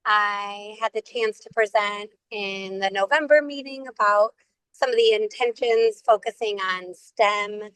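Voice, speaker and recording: A woman, hoarse from the flu, earnestly introducing herself as a board candidate on a video call. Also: medium pitch, a little slow, fairly bright tone, no echo, low-quality recording.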